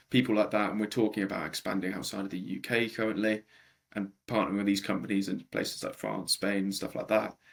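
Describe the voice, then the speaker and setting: Deep-voiced guy in his 20s, low and a bit husky, talking through his startup story with lots of ums. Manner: conversational, frequent ums and restarts. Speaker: startup co-founder, guest. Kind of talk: casual interview answers.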